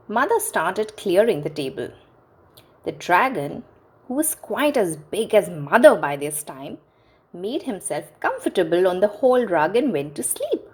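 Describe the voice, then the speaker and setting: A woman reading a children's picture book aloud, speaking straight to young listeners. Her voice swoops up and down with lively, sing-song expression. She takes her time, with an unhurried, gentle pace. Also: mid-range voice, breathy edge. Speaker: storyteller.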